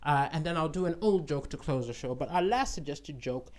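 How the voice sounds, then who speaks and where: A man with a notably high-pitched voice, a comedian chatting casually and rambling to an online audience, often tagging lines with "right?". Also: lively intonation, a little fast, a little mellow.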